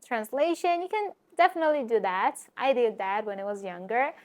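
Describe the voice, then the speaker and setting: Lively woman giving language tips. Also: a little high, fairly quick. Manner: lively, direct, talks to viewers, frequent fillers. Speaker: language teacher and YouTuber. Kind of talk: casual vlog-style lesson.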